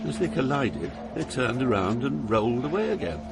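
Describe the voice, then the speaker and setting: An older actor with a British accent playing a grave poet, giving solemn, measured narration in an audio drama. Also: male voice, mid-range voice, sing-song, creaky, very noisy. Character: grave older poet recounting his journey through hell.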